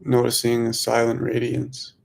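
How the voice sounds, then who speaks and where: Monotone man guiding a meditation, slightly creaky. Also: medium pitch. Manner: slow, hushed, long pauses between phrases. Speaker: meditation teacher. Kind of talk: guided meditation.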